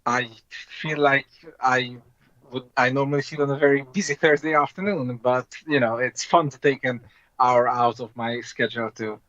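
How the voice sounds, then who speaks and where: A man's voice: medium pitch, fairly even intonation, moderate pace, fairly bright tone, dry recording. Dull lo-fi call, Hungarian-accented man. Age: 30s. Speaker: guest, board game designer. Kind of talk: casual interview chat. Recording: dull, lo-fi online call audio.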